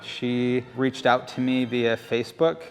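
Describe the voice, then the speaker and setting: A man's voice: medium pitch, unhurried, rough recording. A middle-aged man speaking wryly and self-deprecatingly in an interview.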